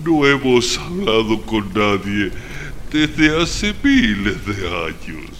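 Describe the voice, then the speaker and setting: A man in a radio drama voicing an ancient, apathetic female giant creature, halting and hesitant as it talks of itself as "we". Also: fairly low pitch, very animated, a bit bright, full character voice. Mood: listless, grumbling. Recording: long cavernous reverb, background noise.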